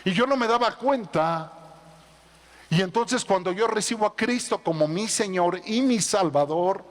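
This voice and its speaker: Male pastor, sermon, emphatic loud build-ups, high-pitched, echoing church hall. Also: animated, measured pace. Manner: preaching, swelling to loud emphatic peaks.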